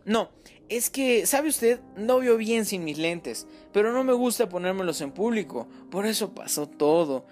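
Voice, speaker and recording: A man plays a frantic middle-aged mother in a storybook reading, her voice pushed very high and swinging wildly with tearful, self-blaming pleas. Faint music sits behind her. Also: sing-song, normal speed. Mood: flustered. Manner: tearful, pleading, breathless outbursts.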